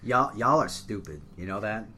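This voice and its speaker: A man's voice: fairly low pitch, animated, moderate pace, bright, forceful tone, a little hiss or hum. A young man co-hosting a comedic podcast, dry and hesitant with frequent stammers. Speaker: podcast co-host.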